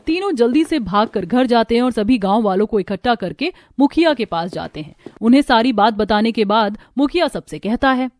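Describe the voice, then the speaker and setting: A woman storyteller reading scripted narration for an animated tale. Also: a little high, moderate pace, hardly any pauses, no room sound, studio quality.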